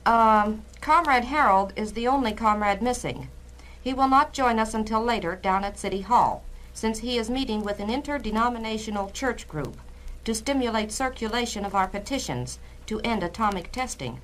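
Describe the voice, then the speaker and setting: A woman's voice: fairly high pitch, lively intonation, normal speed, a bit bright. Actress as a formal, businesslike party chairwoman. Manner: formal, stiff, orderly. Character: businesslike female Communist cell leader chairing meeting. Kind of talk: 1960s dramatized formal speech. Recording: noisy vintage spoken-word LP.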